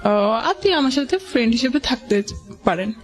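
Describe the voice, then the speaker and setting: A woman's voice: a little high, lively intonation, normal speed. Young Bangladeshi woman storytelling over music. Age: young adult. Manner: hesitant, mixes in English words, quotes dialogue. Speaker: guest sharing life story. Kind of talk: conversational personal storytelling.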